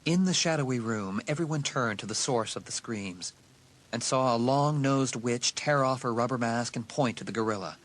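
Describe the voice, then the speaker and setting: A man's voice: mid-range voice, normal speed, noisy background. A man reading a dramatised horror audiobook as a scripted narrator.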